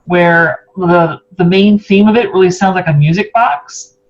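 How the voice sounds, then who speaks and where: A man's voice: high-pitched, unhurried. A man interviewing in a casual, tentative way, feeling out his points as he talks. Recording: muffled, noisy, humming connection.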